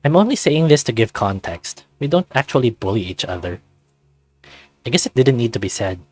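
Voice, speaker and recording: A man's voice: mid-range voice, sing-song, fairly quick, a little mellow, soft background music. A man reading scripted first-person narration from a visual novel.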